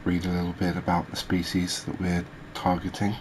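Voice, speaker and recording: A man hosting a gaming video, giving soft ASMR commentary in a halting, hesitant manner. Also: low-pitched. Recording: steady background noise.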